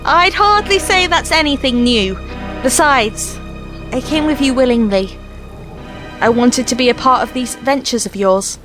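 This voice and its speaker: A woman acting in an audio drama as a plucky, warm-hearted companion to an adventurer, earnest and expressive. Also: sits high, unhurried. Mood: reassuring. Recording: music and rain under the voice.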